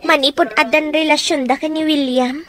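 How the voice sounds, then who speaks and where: A young daughter in an Ilocano radio drama. She has a very high voice and an Ilocano accent, talking in a chatty, confiding way. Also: steady tone, normal speed, fairly bright tone.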